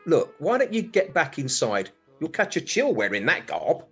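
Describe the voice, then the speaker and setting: A dismissive British husband in middle age as a male actor plays him, forever grumbling. The voice is rough and breathy and leaps around in pitch with scornful, sardonic turns, in radio comedy style. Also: huge pitch swings, normal speed, a bit bright, highs cut off, faint music behind, heavily acted. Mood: irritable. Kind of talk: radio play comedy acting.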